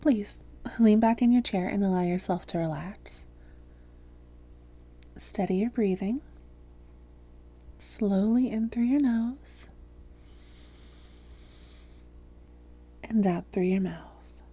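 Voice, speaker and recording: A woman playing a calm female vampire therapist in a roleplay audio, speaking softly, gently and in a measured, reassuring way. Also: mid-range voice. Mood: soothing, hypnotic. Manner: measured, gentle, reassuring, breathy hushed phrases. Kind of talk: soft-spoken roleplay audio, therapist addressing listener.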